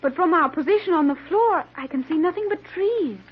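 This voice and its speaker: A woman's voice: extremely high pitch, lively intonation, fairly quick. An actress playing an earnest, solemn girl with formal, precise diction in an old-time radio serial. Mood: composed, precise. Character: earnest, solemn girl raised apart from the world. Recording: muffled vintage radio recording.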